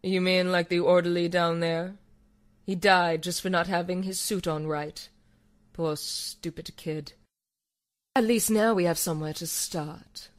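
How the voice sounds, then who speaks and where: Actress doing cool lady scientist, lowish voice, clinical, dry, blunt, horror radio play. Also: steady tone, a little slow, natural voice. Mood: dry, sardonic. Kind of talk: horror audio drama acting.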